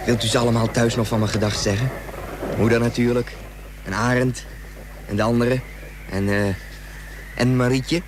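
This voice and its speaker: A man's voice: medium pitch, flat delivery, normal speed, pauses often, lightly acted. A man playing a headstrong, earnest boy who pleads to go to sea, in a Dutch radio play. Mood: subdued, earnest. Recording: old radio play with faint hum.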